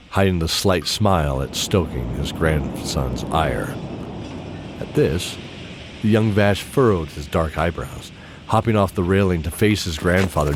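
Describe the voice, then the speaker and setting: A man narrating a scripted fantasy audio drama in storybook style. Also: sits low. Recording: clear background ambience noise.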